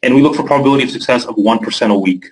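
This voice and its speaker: A young Canadian man with a Canadian accent runs a live webinar and answers viewer questions in a loose, chatty way. His voice sits at a middle pitch, and his talk is full of fillers and quick restarts. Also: poor audio. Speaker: trading webinar host.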